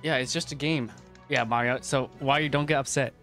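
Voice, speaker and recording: A young man in his twenties argues over a game's voice chat with faint music playing behind him. He stammers and repeats himself when he gets pushed, and his pitch sits in an ordinary middle range. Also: fairly even intonation, fairly quick, fairly bright tone. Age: 20s. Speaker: video creator calling out another player. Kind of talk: heated voice chat argument.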